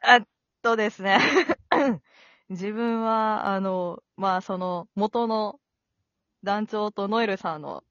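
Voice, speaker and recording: A woman's voice: mid-range voice, slow pace, a bit bright, dry recording. Kansai woman chatting, dull close recording. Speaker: amateur voice actor and talk show host. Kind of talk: casual after-show chat. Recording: dull lo-fi audio.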